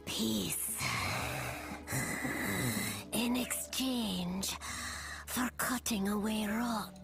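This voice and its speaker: Spooky forest fae, breathy whisper w crackle, drags out every phrase, grave fantasy game thing. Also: female voice, medium pitch, music in the background. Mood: solemn, guarded. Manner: slow, halting, solemn, cryptic phrases. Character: eerie female fae forest spirit, grave, speaking for many sisters.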